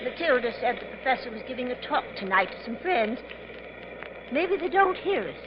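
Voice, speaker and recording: An actress playing a sensible, worried lady sleuth with an earnest, brisk, fretful manner in 1950s radio mystery style. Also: sits high, sing-song. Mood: anxious. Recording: muffled, noisy 1950s radio recording.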